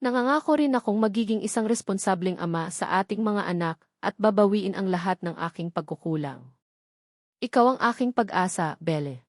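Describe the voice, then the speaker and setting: A woman's voice: medium pitch, lively intonation, fairly quick, dry recording, clean studio sound. A woman narrator voicing a devoted, loving young bridegroom in a sentimental storybook reading. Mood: earnest.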